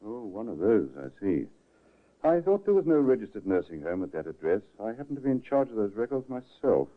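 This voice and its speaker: An actor in a 1950s radio drama playing a brisk, probing man who works as a registrar. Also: lowish voice, sing-song. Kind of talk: 1940s radio drama acting. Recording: tinny old radio broadcast.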